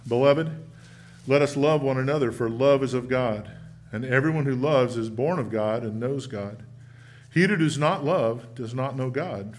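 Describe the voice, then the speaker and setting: A man preaching a sermon in a church, folksy and conversational, slowing down to read scripture with emphasis. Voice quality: big booming voice. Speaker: preacher teaching a church lesson. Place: church sanctuary.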